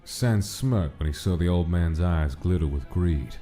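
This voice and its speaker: A man narrating a gangster monster comic dub as a measured dramatic storyteller. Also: deep voice, mellow, soft background music. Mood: measured, ominous.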